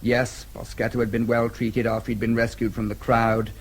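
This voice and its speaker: An actor playing a dry, formal British diplomat in a radio drama, with a slightly husky voice and a wry manner. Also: male voice, medium pitch, monotone, normal speed. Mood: thoughtful.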